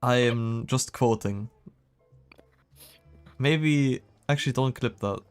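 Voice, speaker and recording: A man's voice: medium pitch, slow pace, smooth. A man giving casual live gameplay commentary as a streamer, with joking, sarcastic asides. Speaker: game streamer.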